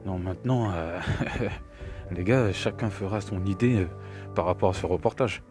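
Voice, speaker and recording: A man's voice: low-pitched, unhurried, music in the background. A middle-aged man with a cold gives rambling, scornful commentary, often repeating his words. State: has a cold. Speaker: video commentator. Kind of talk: opinionated reaction commentary.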